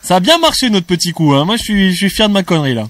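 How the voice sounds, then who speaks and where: A man hosting a live sports talk show on the radio with lively banter. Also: sits high, fast talker, far from the mic, a crowd in the background.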